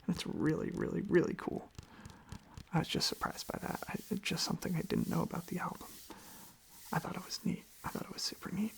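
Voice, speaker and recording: A whispered, breathy voice rambling sleepily and gently in casual ASMR style, softly repeating phrases. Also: medium pitch, fairly even intonation, a little fast. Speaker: ASMR video creator. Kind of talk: ASMR, softly rambling casual chat while tapping on objects. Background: tapping and clicking on objects.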